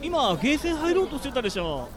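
Someone acting a pushy flirt, swooping pitch. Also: very animated, quick pace. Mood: wheedling, flirty. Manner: wheedling, slangy, over-familiar. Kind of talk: amateur Japanese radio drama acting. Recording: busy street crowd behind the voice.

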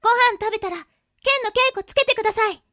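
A woman playing an earnest, easily flustered young noble girl in an anime-style radio drama, with a girlish, expressive delivery. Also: very high-pitched, quick pace, dry recording, clean studio sound. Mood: sheepish. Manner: girlish, expressive, anxious stammering.

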